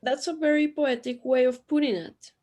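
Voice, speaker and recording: A woman's voice: sits high, fairly even intonation, normal speed, darkish tone, natural voice. A woman playing a knowing, dryly teasing resident of a spirit realm in a sci-fi radio drama, matter-of-fact in manner.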